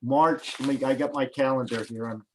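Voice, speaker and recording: Guy hosting on a video call, hesitant, lots of restarts, middle pitch. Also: fairly even intonation, moderate pace, a little mellow, slight background noise, rough recording. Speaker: host. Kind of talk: casual pre-show video call chat.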